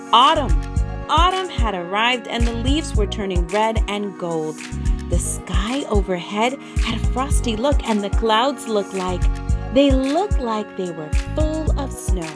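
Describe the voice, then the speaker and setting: A woman reading a children's story aloud as an expressive, dramatic narrator with emphatic delivery. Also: fairly high pitch, slow, music in the background.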